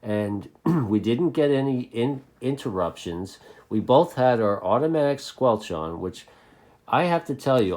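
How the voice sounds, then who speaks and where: A man's voice: medium pitch, darkish tone. An American man chatting casually and unscripted as a hobbyist video host, rambling along and repeating phrases for emphasis.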